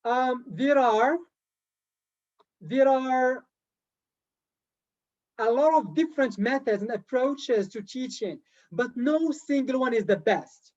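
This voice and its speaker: A man's voice: very high-pitched, slow pace, pauses often, darkish tone, lo-fi sound. A man leading a live online teacher-training session, lecturing in an encouraging way and repeating his key points. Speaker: TESOL trainer.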